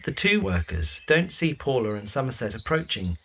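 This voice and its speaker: Guy narrating a radio play, mid-pitched voice, bit of background noise. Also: very animated, moderate pace, a bit bright. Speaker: audio drama narrator. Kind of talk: scripted narration.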